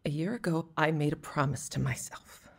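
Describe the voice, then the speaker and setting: An actress playing a hurt, resolute wife in a radio drama, husky and firm. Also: female voice, low voice, moderate pace, full character voice. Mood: firm, pained. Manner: firm, wounded, intense. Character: hurt, resolute wife ending her marriage.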